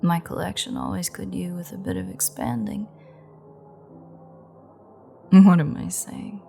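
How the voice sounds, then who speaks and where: A woman acts out an amused, predatory female vampire in an audio drama. She taunts in a lowish, gravelly voice, taking her time and slipping into breathy half-whispers. Her manner is sultry and threatening. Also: big pitch swings, unhurried, over music, exaggerated voice. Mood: gloating, hungry. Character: menacing, amused female vampire gloating over a captured hunter. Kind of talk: audio roleplay acting.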